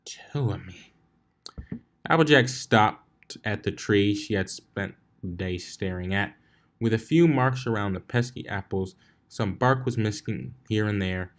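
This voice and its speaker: A man's voice: on the low side, a little slow. A man reading a story aloud as its narrator, in scripted narration. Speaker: fan fiction reader, narrator.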